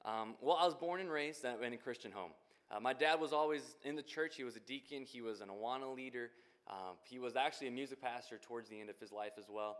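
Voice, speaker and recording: A man in his twenties speaks with an American accent and a medium-pitched voice. He addresses a congregation informally, telling his own story in a chatty way with casual asides. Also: fast, a bit bright. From Northwest Indiana, United States. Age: 20s. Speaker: youth minister candidate. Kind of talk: informal personal testimony to a congregation.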